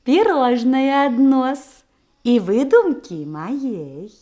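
An actress playing a sly, lively young bride who feigns modesty in a Russian radio comedy, with an expressive theatrical delivery. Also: female voice, sits high, extremely slow, exaggerated voice. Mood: demure, feigned thrift.

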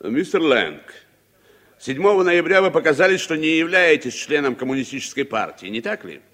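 Animated, stern committee chairman. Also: fairly high pitch, normal speed. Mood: stern, insistent. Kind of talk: 1960s Soviet radio drama acting.

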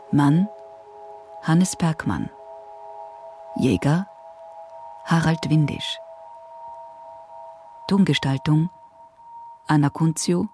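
A woman's voice: deep voice, warm tone. A woman reading scripted credits as the announcer of a radio play.

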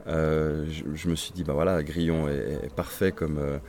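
Very low-voiced Swedish-native man. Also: little pitch movement, a little mellow. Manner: reflective, halting, many restarts. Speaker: crime novelist guest. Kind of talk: interview answers.

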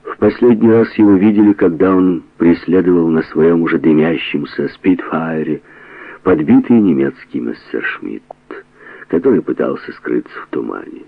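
A man reading a novel aloud as a scripted, dramatic narration, expressively and with deliberate pauses. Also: low-pitched, lively intonation, creaky, no echo, narrow, tinny audio. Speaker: audiobook narrator.

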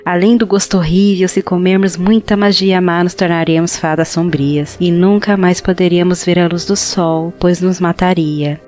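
A woman playing a tiny, hungry forest fairy in a fantasy audio drama, speaking in an earnest, pleading, gentle and formal storybook way. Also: on the low side, fairly even intonation, moderate pace, hardly any pauses, soft background music, lightly acted. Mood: sad, grave.

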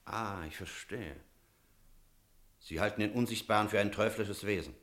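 An actor playing an energetic, rational village priest in a German radio drama, speaking in a decisive, emphatic way. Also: male voice, on the low side, normal speed, lightly acted. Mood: stern, reasoning. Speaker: character in a radio play.

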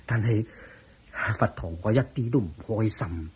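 An actor playing a thrifty, earnest, somewhat naive rich merchant in a radio drama, questioning and hesitant, with a Hong Kong Cantonese accent. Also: male voice, on the low side, measured pace, darkish tone, breathy edge. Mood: troubled.

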